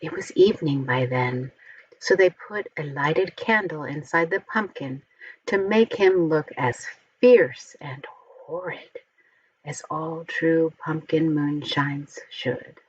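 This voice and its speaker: A woman reading a storybook aloud to young children, expressively and with deliberate, careful enunciation. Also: deep voice, husky, lo-fi sound. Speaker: storybook reader.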